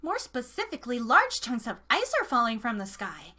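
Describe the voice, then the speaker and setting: A woman voicing an excitable young female hipster in a comedy radio sketch, with earnest urgency and dramatic emphasis. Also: on the high side, normal speed, heavily acted. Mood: alarmed. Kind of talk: live comedy sketch read as radio play.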